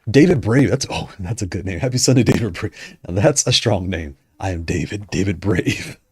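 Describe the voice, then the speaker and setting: A man chatting casually on a livestream, rambling and correcting himself as he goes, his voice going hoarse. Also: deep voice, lively intonation, normal speed, a little mellow, no room sound. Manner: rambling, self-correcting, conversational. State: losing his voice. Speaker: streamer discussing controllers.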